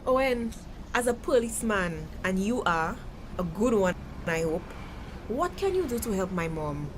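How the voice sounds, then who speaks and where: A woman's voice: medium pitch, sing-song, moderate pace, lightly acted. A woman with a Caribbean accent playing an outspoken, insistent young daughter in a TV drama. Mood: pointed appeal. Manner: insistent, challenging, questioning. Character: outspoken young woman, anxious daughter pleading for her mother. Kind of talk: TV drama dialogue. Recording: clearly noisy background ambience.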